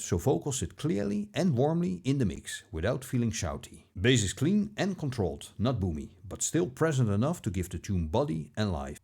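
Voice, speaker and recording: A man narrating a scripted product review as a video host, with a measured, polished presenter delivery. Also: mid-range voice, gravelly, a little mellow, breathy edge.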